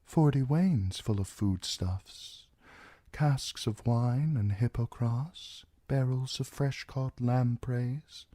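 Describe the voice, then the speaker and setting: A man voicing a cold, soft-spoken older lord who murmurs everything mildly. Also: mid-range voice, dry recording, studio quality, voice pushed up.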